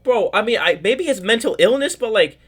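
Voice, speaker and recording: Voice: mid-range voice, expressive, fairly quick, a bit bright, slight background noise. A reaction video host talking casually in slang, repeating phrases for emphasis.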